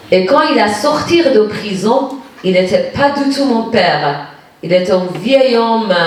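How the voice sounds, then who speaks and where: A woman's voice: mid-range voice, a little hiss or hum. A woman from Iran whose first language is Persian, speaking with a Persian accent as a guest poet at a public reading. She searches for her words, with frequent hesitations. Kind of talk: personal storytelling at a reading. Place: municipal lecture hall. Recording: echoey hall from far off.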